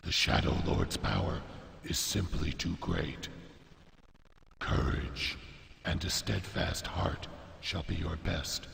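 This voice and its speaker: An actor playing a grave, solemn storyteller in a fantasy game cutscene, speaking in a portentous, measured, gravelly way with a reverberant echo. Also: male voice, sits low, big pitch swings, a bit bright, heavily acted. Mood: grave, urgent. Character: grave male fantasy narrator, solemn war-council storyteller. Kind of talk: dramatic scripted game cutscene narration. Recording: reverberant hall echo, faint noise.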